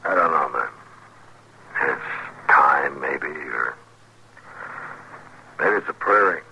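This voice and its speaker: An actor playing a steady, stern frontier marshal, terse and authoritative, with an American accent in 1950s radio western style. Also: male voice, rumbling low, slow, creaky, lo-fi sound, full character voice. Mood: firm, grave. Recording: noisy old radio drama recording.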